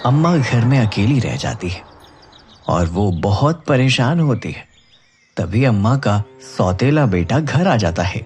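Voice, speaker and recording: A man's voice: mid-range voice, sing-song, measured pace, darkish tone, soft background music. A man narrating a scripted moral story as a storyteller.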